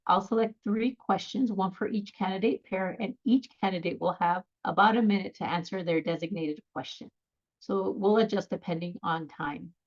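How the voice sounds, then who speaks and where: A woman moderating an online question session on a video call, brisk and organized as she explains the format. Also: medium pitch, husky, dull audio. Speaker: event moderator.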